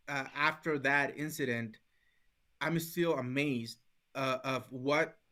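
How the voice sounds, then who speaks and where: Mexican-accented guy, middle-aged, bright voice, earnest, keeps restarting his words. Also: mid-range voice. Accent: Mexican Spanish. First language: Spanish. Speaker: guest. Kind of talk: conversational interview.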